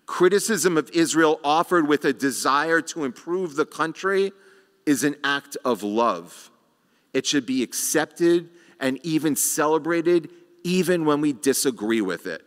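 A middle-aged man, a rabbi, giving a sermon at a lectern microphone with slow, measured delivery and rhetorical pauses. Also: fairly high pitch, lively intonation. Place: large sanctuary, at a lectern. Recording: reverberant sanctuary sound.

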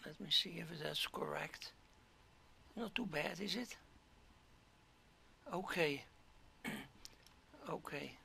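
A hoarse man talking through a 3D modeling tutorial step by step, halting, often clearing his throat and dropping to a whisper. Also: fairly high pitch, bright, forceful tone, slight background noise. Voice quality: gravelly, keeps clearing throat. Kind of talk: screen-recorded software tutorial.

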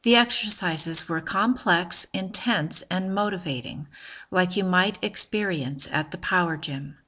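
A woman narrating a scripted promotional video in an even, measured, informative way. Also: lowish voice.

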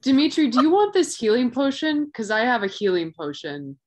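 Chatty woman player with a lively voice. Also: fairly high pitch, a little fast. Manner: chatty, rambling, self-deprecating. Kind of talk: tabletop game chat over video call. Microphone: video call.

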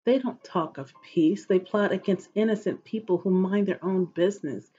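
A middle-aged woman reading scripture aloud in a measured, solemn, devotional way, with a husky voice. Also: medium pitch, mellow. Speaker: host of an inspirational reading series. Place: living room at home, indoors. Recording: dull, lo-fi webcam audio.

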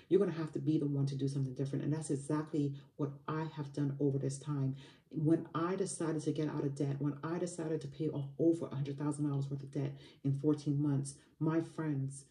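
A woman's voice: sits low, warm tone, reverberant, recorded from a distance. A woman in her early forties, a money coach from Barbados, giving an emphatic motivational talk to camera at home. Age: 40s. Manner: emphatic, repetitive motivational phrasing with fillers. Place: home room by a window.

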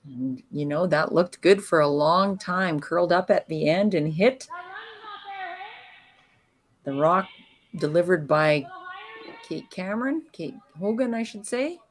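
A woman giving calm, analytical live commentary on a curling match. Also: on the low side, a little hiss or hum. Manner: calm, analytical play-by-play with pauses.

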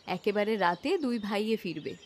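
A woman voicing a modest, contented housewife with a gentle, polite manner, in a cartoon moral story. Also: medium pitch, fairly quick, slight background noise, lightly acted. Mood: pleased. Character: modest, contented, patient village sister-in-law and housewife.

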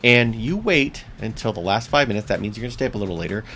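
A man's voice: lowish voice, animated, quick pace, bright, forceful tone, slight background noise. A man in his thirties with a US East Coast accent, hosting a bowling tips vlog straight to camera and talking upbeat and chatty, repeating his key points. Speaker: bowling channel host. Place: small indoor room with shelves. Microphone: seated close to camera.

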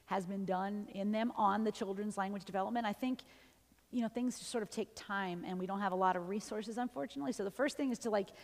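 A middle-aged woman lectures from a stage in a chatty, animated manner. Her voice sits in a mid register and carries the long reverb of a large auditorium. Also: mid-range voice, quick pace. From New York, USA. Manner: chatty, animated, laughs easily. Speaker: university linguistics professor giving a talk. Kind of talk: lecture and audience Q&A.

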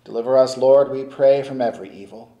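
Older priest intoning mass prayers, mellow low-key voice, big echoey stone church. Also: male voice, lo-fi sound. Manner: slow, solemn, measured intoning. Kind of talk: liturgical prayer recitation. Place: stone-walled church sanctuary at the altar.

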